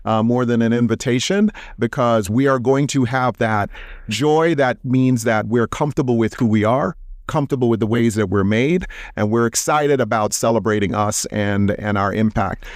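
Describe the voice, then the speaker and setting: A man answering interview questions in a measured way, with frequent filler sounds. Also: mid-range voice, dry recording, clean studio sound. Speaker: interview guest, company executive.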